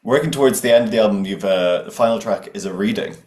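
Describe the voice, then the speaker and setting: Deep host, keen and praising, echoey sound. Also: male voice, quick pace, a little mellow. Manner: enthusiastic, complimentary questions. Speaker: interviewer host. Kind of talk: casual interview chat. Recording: roomy echo.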